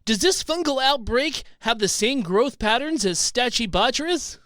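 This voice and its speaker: Earnest, formal TV interviewer played by a man, extremely high voice, animated and bright, for mock news comedy. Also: no echo, clean studio sound, full character voice. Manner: formal, measured questioning.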